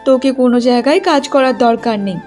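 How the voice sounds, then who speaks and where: A woman's voice: on the high side, fast, a little mellow, soft background music, lightly acted. A woman voicing a poor, hardworking middle-aged village mother in a cartoon story, fussing and self-sacrificing. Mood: reassuring, insistent. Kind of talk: Bengali cartoon story dialogue.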